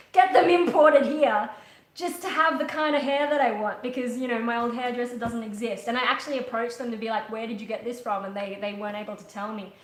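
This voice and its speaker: A woman's voice: a little high, echoey room. A young woman chatting casually on a livestream from her living room couch, rambling with many ums and restarts. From Australia. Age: young adult. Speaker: livestream host, metal singer. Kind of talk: casual live chat. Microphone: webcam at a distance.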